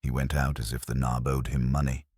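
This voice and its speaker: A man's voice: rumbling low, level tone, a little fast, darkish tone, slightly rough, no room sound, clean studio sound. A man narrating a first-person detective story as an audiobook reader.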